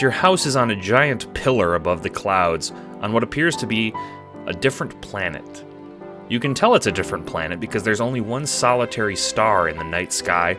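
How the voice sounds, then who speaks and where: Deep-voiced man narrating with lively swings. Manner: dramatic, wry storytelling. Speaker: narrator and producer. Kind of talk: scripted second-person audio drama narration.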